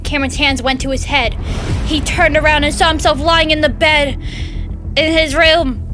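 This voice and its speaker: A woman's voice: high voice, fairly even intonation, moderate pace, over music. A woman with a girlish voice narrates fan fiction and keeps breaking off for informal asides. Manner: informal, self-interrupting. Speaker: host reading fan fiction. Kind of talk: story narration with casual asides.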